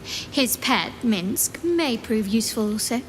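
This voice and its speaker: A woman's voice: on the high side, animated, slow pace, long reverb tail, slight background noise. A woman playing a stern, formal warrior with clipped speech in a fantasy game. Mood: grudging approval.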